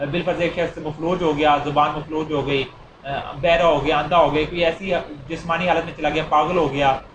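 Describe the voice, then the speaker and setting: Higher-pitched Pakistani man lecturing, echoey and noisy. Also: steady tone, moderate pace, dull audio. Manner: repeats and rephrases points, mixing Urdu with English legal terms. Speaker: law lecturer. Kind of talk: informal explanatory lecture with examples.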